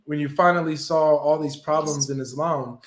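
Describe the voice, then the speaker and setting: A man's voice: a little high, fairly even intonation, normal speed. A man hosting an interview, asking guiding questions in an affirming, brotherly way. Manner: affirming, brotherly, frequent short agreements. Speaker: host. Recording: echoey room sound.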